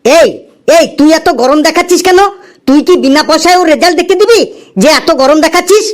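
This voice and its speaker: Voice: very high voice, quick pace. An actor voicing a cheeky, impatient young student in a comedy cartoon, arguing and talking back. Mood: defiant.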